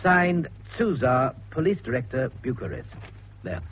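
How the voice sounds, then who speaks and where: A middle-aged British police investigator in a radio mystery, gruff, clipped and sceptical. His pitch moves a lot around a middling register, and the sound is tinny and narrow with some hiss and noise. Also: sing-song, unhurried. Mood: reluctant, dry reading. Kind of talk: radio detective drama acting. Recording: tinny, noisy radio recording.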